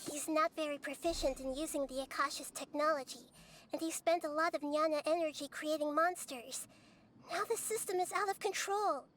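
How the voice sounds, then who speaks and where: A woman voicing a small, childlike, excitable and caring fairy companion in a video game. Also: high-pitched, normal speed, slight background noise. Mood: shaken, sympathetic. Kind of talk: cartoonish video game voice acting. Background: soft game music.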